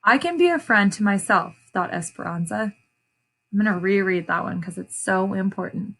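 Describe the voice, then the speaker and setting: A woman reading a children's story aloud as an educator, pausing to ask questions and explain things to young listeners. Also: mid-range voice, a bit bright, breathy edge.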